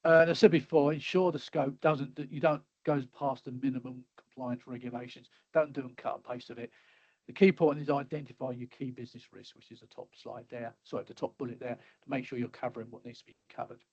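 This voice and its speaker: A middle-aged man at a mid-range pitch, walking through slides in a loose, halting way, full of uhs and restarts. His voice is a bit hoarse and he clears his throat now and then. The call audio is slightly dull and lo-fi. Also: steady tone, a little mellow. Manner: halting, many fillers and restarts. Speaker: webinar presenter. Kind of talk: casual presentation with slides.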